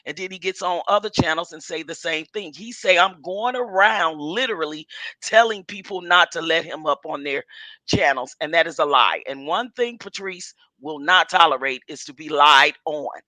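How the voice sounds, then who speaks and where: Hoarse woman, very bright tone, dry close sound, emphatic livestream guest. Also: low-pitched, normal speed, dry recording. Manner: emphatic, indignant retelling. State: hoarse with a cold. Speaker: panel guest. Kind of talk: casual livestream talk.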